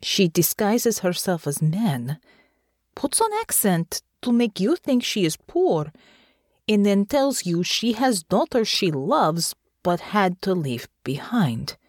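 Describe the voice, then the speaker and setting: A woman voicing a blunt but caring foreign woman, speaking deliberately and dropping small words. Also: medium pitch, very animated, no echo, clean studio sound, pitched above the performer's own voice. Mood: appalled. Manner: slow, deliberate, dropping articles.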